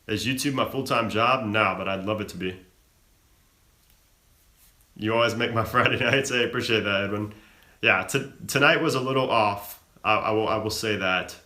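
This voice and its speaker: Young male streamer, lowish voice, bright forceful tone, echoey room, reads chat questions then answers. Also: normal speed. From American South. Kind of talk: casual live Q&A chat.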